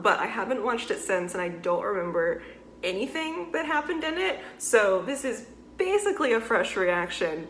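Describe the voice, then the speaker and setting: A woman in her twenties hosting a reaction video, chatty, laughing often and throwing in quick comments. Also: medium pitch, fairly bright tone.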